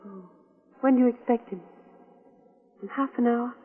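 Female actor for a friendly but troubled town clerk, high voice, vintage radio drama style, narrow muffled sound. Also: normal speed, natural voice. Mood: anxious, urgent. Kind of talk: 1940s radio crime drama acting. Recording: muffled, narrow-band old radio recording.

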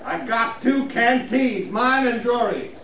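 A man's voice: very high-pitched, slow, fairly bright tone, highs cut off, exaggerated voice. An actor with an American accent playing a vengeful, cowardly frontier gunman, bitter and taunting, with a folksy drawl in 1950s Western radio style. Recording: noisy, echoey 1950s radio recording.

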